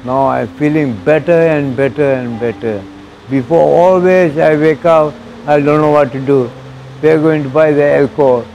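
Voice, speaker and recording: A man's voice: a little high, moderate pace, gravelly, a little mellow, highs cut off, a little hiss or hum. A man in his sixties with a Malaysian English accent, giving an earnest personal testimony in broken, repetitive English on a lapel mic in an outdoor mosque walkway. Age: 60s. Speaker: interviewee.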